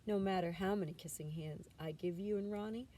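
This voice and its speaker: Mother raccoon for a picture book, read by a woman: dark-toned, motherly, patient, comforting; recorded from a distance. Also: mid-range voice, a little fast, lightly acted. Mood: soothing, loving. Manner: tender, soothing, motherly. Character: gentle, loving mother raccoon, patient and reassuring. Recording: distant mic with background noise.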